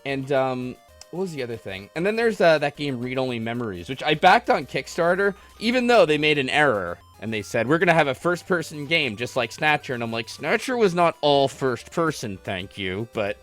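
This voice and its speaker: Man chatting casually over loud game music. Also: mid-range voice, sing-song, moderate pace. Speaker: host of a gaming livestream podcast taking callers. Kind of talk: casual chat while playing a game. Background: retro video game music.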